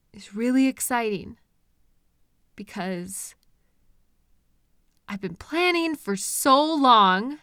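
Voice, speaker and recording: A woman playing an obsessive, deluded kidnapper in love, speaking gently and coaxingly to the listener in an intimate audio roleplay. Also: fairly high pitch, clear, resonant tone, no echo, studio quality, full character voice. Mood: excited, dreamy. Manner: gentle, coaxing, chatty, unnervingly sweet. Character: obsessive, possessive female kidnapper, cheerfully deluded lover.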